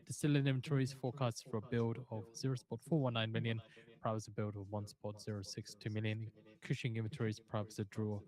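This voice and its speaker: A man reading market news headlines and figures, crisp and scripted. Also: lowish voice, little pitch movement, quick pace, a little mellow. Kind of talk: rapid scripted news read.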